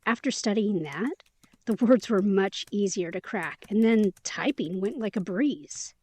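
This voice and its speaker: A woman's voice: mid-range voice, animated, unhurried, darkish tone, dry recording. A woman playing a chatty, upbeat young woman in an immersive audio drama, talking in a casual, playful, slangy way.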